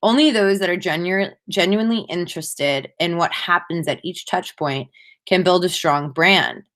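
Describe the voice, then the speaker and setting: A woman with a slightly low voice presents as a conference speaker. Her delivery is prepared and measured, sounding read from a script, and she leans on key points as she lays them out. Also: fairly bright tone. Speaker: conference speaker, digital marketing professional.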